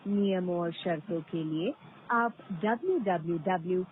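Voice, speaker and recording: A woman reading scripted automated phone prompts in a formal, measured way with deliberate pauses, heard over a recorded phone call. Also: fairly low pitch, slight background noise. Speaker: automated phone line announcer. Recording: tinny band-limited phone line recording.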